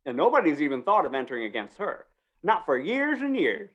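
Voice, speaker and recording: A woman's voice: low voice, very animated, a little fast, breathy edge, highs cut off, full character voice. A woman acting a flustered, wheedling small-town male undertaker in a 1950s-style Western radio play, stammering in rustic speech. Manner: stammering, pleading, rustic grammar.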